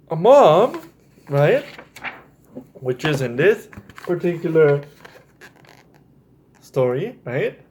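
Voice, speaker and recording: Slow, animated man hosting children's storytime. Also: high voice. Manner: addresses children directly, often checks with "right?". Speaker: storytime host reading to children. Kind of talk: explaining to children, read-aloud storytelling.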